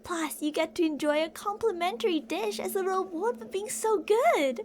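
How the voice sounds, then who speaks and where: A woman's voice: extremely high pitch, normal speed, slight background noise. A woman playing an older, obsessive yandere kidnapper in an ASMR roleplay, with a theatrical, teasing sing-song manner, sweet-talking and menacing. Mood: scolding, sweet.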